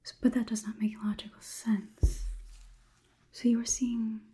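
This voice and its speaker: A young woman whispering an ASMR roleplay close to the mic as a coolly logical robot overlord, in a halting, clinical, deliberate way. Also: a little high, level tone, warm tone. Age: young adult. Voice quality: breathy, unvoiced whisper. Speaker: ASMR performer. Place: small indoor room. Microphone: close microphone.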